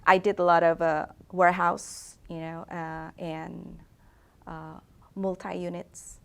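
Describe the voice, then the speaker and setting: Middle-aged woman, low and gravelly, hesitant answers with many fillers. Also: level tone, takes it slowly, fairly bright tone. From Indonesia. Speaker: real estate agent, guest. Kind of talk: casual interview answers.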